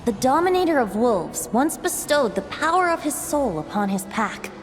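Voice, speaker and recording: A woman's voice: sits high, sing-song, moderate pace. A woman playing a solemn teller of legends in a video game. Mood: reverent. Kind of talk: scripted video game acting. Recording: long reverb over game music.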